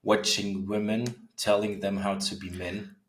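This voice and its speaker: A young man in his twenties speaks in a low voice with an Austrian German accent. He talks casually, as a guest in a podcast interview. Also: little pitch movement, a little slow. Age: 20s. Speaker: podcast guest, author and men's coach.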